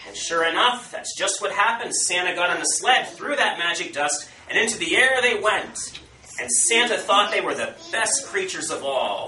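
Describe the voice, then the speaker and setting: A man telling a folktale to children in a theatrical, animated way, acting out the characters. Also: high voice. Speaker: storyteller. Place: indoor room at a historical society. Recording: roomy, far from mic, faint noise.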